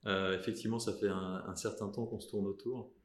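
A man's voice: lowish voice, steady tone, a little fast, roomy sound. A man in his thirties from southern France, a lawyer speaking as an interview guest, hesitant with many fillers and restarts. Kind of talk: conversational interview.

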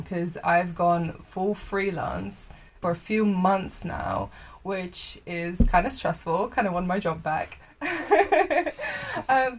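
A young woman chatting as a podcast guest. She is bubbly, laughs often and rambles with plenty of fillers. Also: fairly low pitch. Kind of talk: casual interview chat.

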